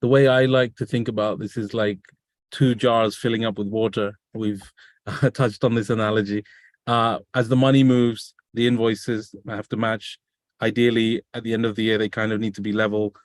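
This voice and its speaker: A man's voice: fairly low pitch, little pitch movement, normal speed, no room sound, studio quality. A man hosting a business webinar over a video call, asking guests questions with curious follow-ups. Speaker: webinar host. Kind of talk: conversational webinar interview.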